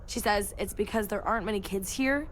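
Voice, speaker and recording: A woman's voice: mid-range voice, fairly quick, noisy background, lightly acted. A woman voicing a chatty, childlike young girl from Mars as video game character dialogue. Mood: matter-of-fact. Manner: eager, childlike, rambling.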